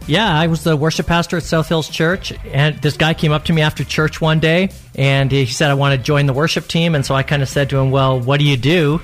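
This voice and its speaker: Calm, sincere man telling a story. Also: fairly high pitch, a little fast, hardly any pauses, soft background music. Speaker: worship pastor and guest. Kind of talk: radio interview chat.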